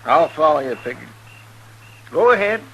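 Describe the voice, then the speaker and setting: An actor playing a loyal, plain-spoken marshal's helper with rustic talk in a 1950s radio western. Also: male voice, fairly high pitch, sing-song, moderate pace, fairly bright tone. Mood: wary. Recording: very noisy vintage radio recording.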